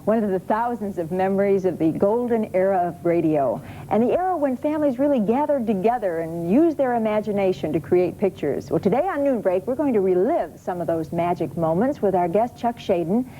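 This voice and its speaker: Mellow, lively American woman hosting. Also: mid-range voice, a little fast, hardly any pauses. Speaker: talk show co-host. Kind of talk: conversational TV interviewing. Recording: muffled 1970s TV recording, clear noise.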